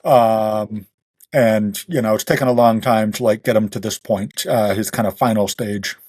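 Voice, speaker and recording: A man's voice: lowish voice, steady tone, normal speed, darkish tone, dry recording, clean studio sound. A man speaking as a guest author in a casual podcast interview, explaining things in detail in a conversational way. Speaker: guest author of western fiction.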